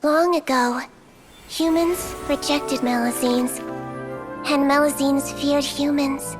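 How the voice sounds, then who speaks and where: A woman voicing a small, gentle, girlish doctor in a video game, speaking in a deliberate storyteller's manner. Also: high-pitched, steady tone, soft background music. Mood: gentle storytelling.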